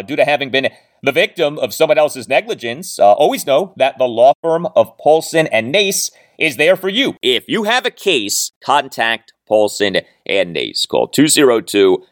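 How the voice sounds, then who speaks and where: A man hosting a sports podcast in an emphatic, deliberate monologue, often repeating phrases for weight. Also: medium pitch, dry recording, clean studio sound. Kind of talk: sports commentary monologue with sponsor read.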